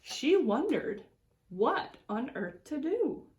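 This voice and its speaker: Woman for children's read-aloud: unhurried educator, swooping expressive delivery, mid-pitched voice, words stretched for effect. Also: a little hiss or hum. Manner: deliberate, expressive storytelling with drawn-out words and swoops. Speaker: extension educator reading aloud to schoolchildren.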